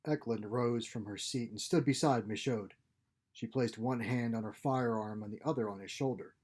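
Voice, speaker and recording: Mellow male story narrator. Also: medium pitch, moderate pace, breathy edge. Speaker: author reading own short story. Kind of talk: scripted narration, science fiction audiobook.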